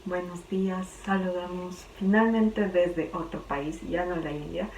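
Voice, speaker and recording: Young woman, Quito accent, lowish voice, chatty vlog, echoey room, away from the mic, faint hum. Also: steady tone, a little slow, a little mellow. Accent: Quito Ecuadorian Spanish. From Ecuador. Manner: chatty, searching for words. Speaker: vlogger. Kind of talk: casual personal vlog. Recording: roomy, distant, faint background hum.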